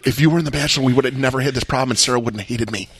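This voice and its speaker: Man, excitable podcast co-host, quick patter, dry sound. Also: medium pitch, husky, no room sound. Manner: excitable, teasing. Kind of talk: casual banter.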